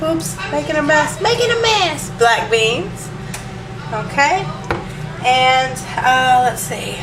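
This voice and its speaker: Home cook, woman, a bit higher voice, stuffy from allergies, casual and rambling; distant camera mic, roomy kitchen echo. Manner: chatty, relaxed, lots of ums and asides. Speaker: home cook showing a recipe. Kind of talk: casual cooking demo. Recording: echoey room, distant mic, hiss.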